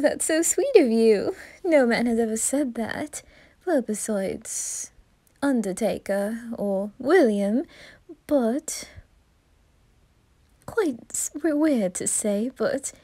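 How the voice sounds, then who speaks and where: A flamboyant, giggling male reaper, voiced by a woman flirts in slow, teasing, half-whispered lines. The voice sits a little high and mellow, and the recording is close and dry. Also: no room sound, heavily acted. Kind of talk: ASMR roleplay.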